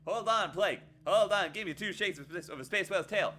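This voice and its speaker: A man hosting a live stream, chatting playfully with sudden excited jumps in his voice. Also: high voice, fairly quick. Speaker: livestream host. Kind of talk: casual live stream chat.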